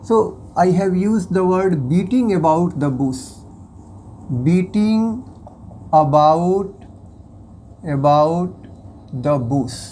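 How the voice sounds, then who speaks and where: A native Hindi speaker teaching English in a slow, deliberate way, explaining phrase by phrase and at times switching into Hindi. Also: mid-range voice, animated, dark tone, slight background noise. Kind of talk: explanatory lesson.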